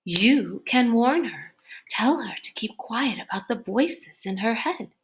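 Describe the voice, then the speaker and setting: An anguished young boy from a storybook, voiced by a woman, thinking aloud. He is hesitant and pleading, with slow, halting words. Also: fairly high pitch, expressive. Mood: pleading, urgent.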